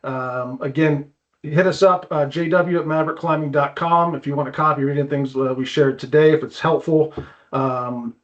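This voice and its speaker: A man's voice: a little high, steady tone, moderate pace. Casual male podcast host, slightly muffled sound. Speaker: podcast host, climbing wall builder. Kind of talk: casual chat. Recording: muffled, dull lo-fi audio.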